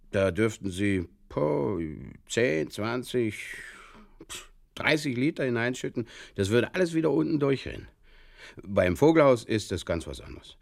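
A man playing an earnestly muddled Bavarian fishkeeper in a halting, circuitous comic radio monologue, with a Bavarian accent. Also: on the low side, gravelly, no room sound, clean studio sound. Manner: halting, circuitous, literal-minded, over-explaining. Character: rambling, earnestly muddled Bavarian fishkeeper telling absurd tales.

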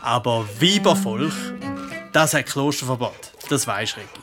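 A stern medieval monastery man acted by a man in a children's audio drama. He has a Swiss German accent, a medium-pitched voice and a dismissive way of talking, with music clearly behind. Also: expressive, unhurried, lightly acted.

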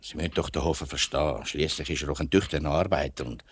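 An actor with a Swiss German accent playing a straightforward, conscientious factory workers' representative in a 1950s radio drama, speaking plainly and earnestly. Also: male voice, extremely low pitch, fast, husky. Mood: earnest, persistent. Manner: plain-spoken, earnest, colloquial. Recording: noisy old 1950s radio recording, clicks.

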